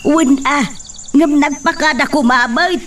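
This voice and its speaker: A woman's voice: high voice, measured pace, fairly bright tone. Woman acting a fretful mother, distant mic. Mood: anxious. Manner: anxious, lamenting. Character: worried, fretful middle-aged peasant mother. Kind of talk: audio soap drama acting. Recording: distant mic, faint background noise.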